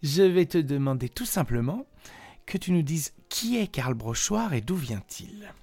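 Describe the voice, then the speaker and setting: A man hosting a monthly arts radio show, introducing it and interviewing a guest in a measured, announcer-like way. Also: on the high side, sing-song, darkish tone, breathy edge, no echo. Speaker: radio host.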